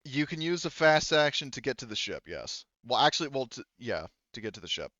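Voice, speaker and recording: A man's voice: mid-range voice, very animated, fairly quick, pushed and bright, dry recording, lo-fi sound. A man acting as game master, narrating and refereeing a tabletop roleplaying game in an informal, hesitant, think-aloud way.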